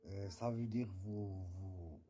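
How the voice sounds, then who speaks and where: A man chatting casually in a non-native language, halting and searching for words. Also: deep voice, dark tone. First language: not French. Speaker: vlogger. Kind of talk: casual chat.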